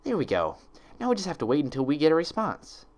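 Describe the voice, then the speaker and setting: A man's voice: a little high, wildly swooping pitch, fast talker, darkish tone, voice pushed up. Man voicing an earnest, anxious young female unicorn. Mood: anxious, self-reassuring.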